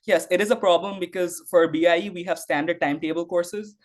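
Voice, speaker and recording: A man's voice: high-pitched, steady tone, a little fast. Young man rambling with many "like"s. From Bangladesh. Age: young adult. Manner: rambling, heavy use of "like", frequent restarts. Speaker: interview guest, university student. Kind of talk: casual interview answers.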